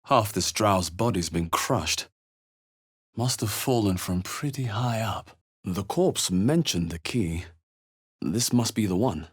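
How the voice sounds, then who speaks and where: A male fantasy game hero on the road. He is wary and level-headed, speaking in short scripted lines. His delivery is measured and earnest, with a theatrical edge. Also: fairly low pitch, animated, husky, exaggerated voice. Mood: watchful. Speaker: video game player character. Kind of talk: scripted game voice lines, short exploration remarks and spell chants.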